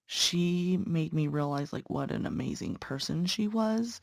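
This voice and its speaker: A woman's voice: on the low side, lively intonation, unhurried, vocal fry, no room sound, clean studio sound. A young woman, a patient care tech and nursing student, chatting earnestly to viewers while stitching, sounding congested. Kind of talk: casual chat while stitching.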